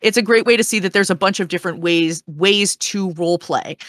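A woman's voice: fairly low pitch, a little fast, a bit bright, no echo, clean studio sound. A woman in a casual discussion, explaining in an earnest, encouraging and meandering way as a panel guest.

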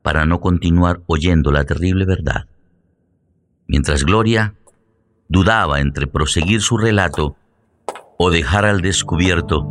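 A radio-drama narrator with a low male voice, measured and dramatic. Guitar music plays clearly behind the scripted narration. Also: no echo, clean studio sound. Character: male narrator recapping a suspenseful radio serial.

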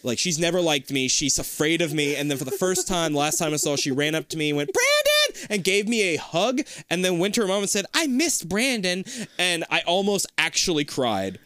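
Loud lisping guy, very animated pitch. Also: brisk, no echo. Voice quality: lisp. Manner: loud, projecting, laughs often. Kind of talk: casual chat.

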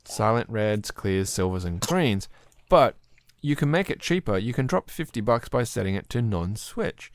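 A man hosting a podcast, chatting casually and rambling a little, clearing his throat often, his voice slightly throaty. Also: fairly low pitch, lively intonation, moderate pace, no room sound. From Australia. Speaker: podcast host. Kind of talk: casual chat about keyboards.

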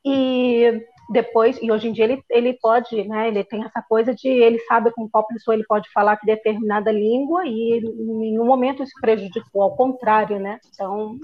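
A woman's voice: a little high, fairly even intonation, moderate pace. A woman from Rio de Janeiro with a Carioca accent, a podcast guest and language teacher chatting casually and dropping many filler tags. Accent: Carioca Brazilian Portuguese. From Brazil (Rio de Janeiro). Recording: dull lo-fi sound.

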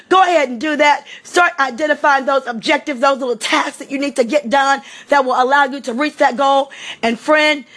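High-voiced, slightly hoarse motivational woman. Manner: energetic, preachy repetition, occasional shouting. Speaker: motivational speaker. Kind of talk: motivational pep talk.

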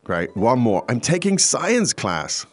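A man of ordinary pitch hosts a podcast language lesson, his voice rising and falling a great deal. He explains things in a relaxed way, full of small pauses and fillers. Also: faint music behind. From foreign to China. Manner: relaxed, explanatory, many hesitations. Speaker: language teacher host.

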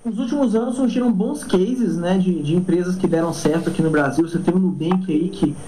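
A man's voice: sits high, fairly quick, mellow, soft background music, rough recording. A startup cofounder, a man, answering an interview casually and padding his speech with fillers and tag questions.

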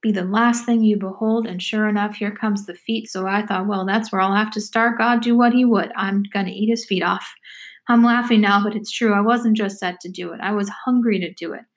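A woman's voice: medium pitch, fairly even intonation, fairly quick, lightly acted. A woman voicing an exhausted, fierce pregnant runaway who speaks in plain folk dialect in a story reading. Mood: fierce, hungry.

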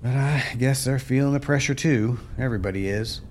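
A man's voice: mid-range voice, a little fast, a little hiss or hum, natural voice. A man playing a weary, plainspoken American farmer who has lost his family land, in a folksy radio play style. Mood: weary, bitter.

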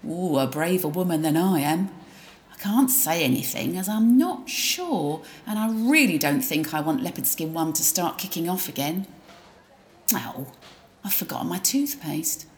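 A woman's voice: on the low side, animated, normal speed. A woman narrating a drama in a wry, gossipy first-person voice.

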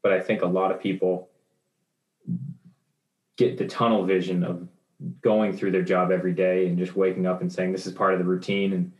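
A man's voice: low voice, flat delivery, moderate pace, long pauses, a little mellow. A man in his twenties talking in a rambling casual discussion, with many fillers and restarts. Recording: roomy echo.

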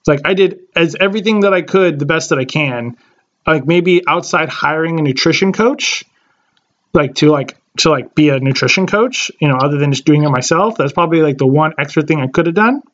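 Man, late twenties, slightly high voice, rambling casual podcast chat, dull lo-fi sound. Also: animated, brisk, fairly bright tone. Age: late 20s. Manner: rambling, many fillers and restarts. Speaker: podcast co-host.

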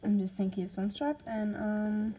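A woman's voice: medium pitch, fairly even intonation, moderate pace, warm tone, slight background noise, poor audio. A woman with an Australian accent, casually reading out name ideas in a voice message, hesitant and apologetic with lots of ums. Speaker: podcaster listing name ideas.

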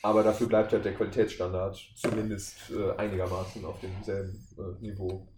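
Low-pitched man explaining, roomy echo. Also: little pitch movement, brisk, darkish tone. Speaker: podcast guest, voice actor. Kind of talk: casual interview chat, explaining at length. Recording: echoey room, faint background noise.

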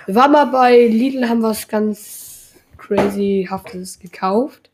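A woman's voice: a little high, takes it slowly, noisy background. A young woman in her teens hosting a casual podcast, rambling along with lots of filler words. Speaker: podcast host.

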